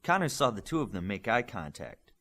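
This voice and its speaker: A man's voice: lowish voice, sing-song, fairly quick, a bit bright. A man reading scripted fiction as an audiobook narrator.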